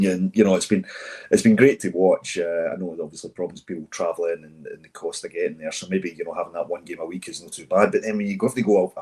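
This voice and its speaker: Scottish male podcast co-host, 40s, low husky voice, hoarse from a cold, quick chatty rambling delivery. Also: a little mellow. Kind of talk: casual chat.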